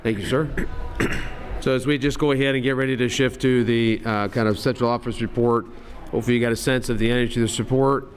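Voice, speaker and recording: A man, a school district administrator, runs a public board meeting in a meeting room, talking in a friendly, halting way with many "uh"s and restarts. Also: medium pitch, fairly even intonation.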